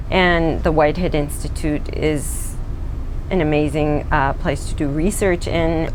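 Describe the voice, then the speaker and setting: A woman's voice: deep voice, background noise. A young woman from Israel, a postdoc researcher, explaining her work in a documentary interview with earnest fillers and restarts. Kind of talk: documentary interview and lab tour. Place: research lab.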